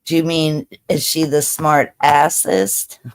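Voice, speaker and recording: A woman's voice: low voice, steady tone, slow pace, gravelly. A woman in her thirties hosting a casual livestream chat, laid-back and chatty with many fillers. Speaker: livestream host.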